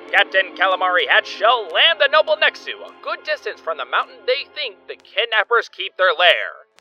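A man narrating an adventure serial in a theatrical, grandiose, scripted style. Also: high voice. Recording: dull sound under loud music.

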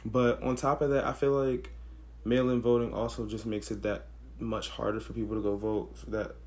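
A hoarse young man with a raspy voice, co-hosting a podcast in slangy casual chat. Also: lowish voice, normal speed. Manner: relaxed, slangy, thinks aloud with fillers. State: hoarse, losing his voice. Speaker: podcast co-host.

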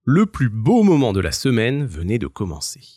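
A man narrating a scripted children's story as an expressive storyteller. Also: mid-range voice, moderate pace, husky, dry recording, studio quality.

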